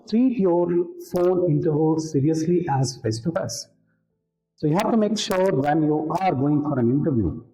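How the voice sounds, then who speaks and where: A man's voice: on the high side, mellow. Indian man coaching in an echoey room. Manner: didactic, repeats key points for emphasis. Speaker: interview skills coach, presenter. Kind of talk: instructional talk to camera. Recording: roomy, echoing room.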